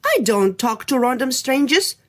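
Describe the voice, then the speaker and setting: A woman's voice: high-pitched, sing-song, moderate pace, pitched above the performer's own voice. A woman voicing a haughty, rude willow tree in a storybook tale, snapping at a stranger.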